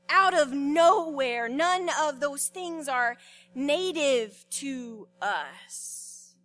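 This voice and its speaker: High, bright-voiced woman preaching slowly. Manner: expressive storytelling with repeated words and dramatic pauses. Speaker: pastor. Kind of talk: sermon.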